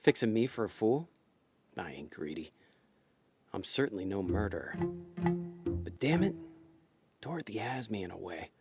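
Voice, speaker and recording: A man's voice: on the low side, big pitch swings, moderate pace, long pauses, music in the background. A laid-back wisecracking con man. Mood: brooding. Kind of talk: noir audio drama acting with narration.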